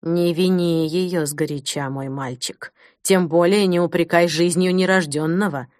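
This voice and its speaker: Female narrator as wise old foster mother, low pitch, measured, grave, dry recording. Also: fairly even intonation, darkish tone, no echo, close to an everyday voice. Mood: grave, consoling. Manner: measured, solemn, counselling. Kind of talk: audiobook character acting.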